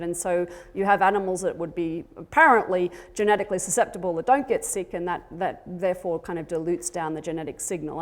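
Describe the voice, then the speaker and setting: A woman with an Australian accent gives a conference presentation as a university researcher, explaining technical material in a lecturing manner with a light joking touch. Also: lowish voice. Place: conference session before an audience.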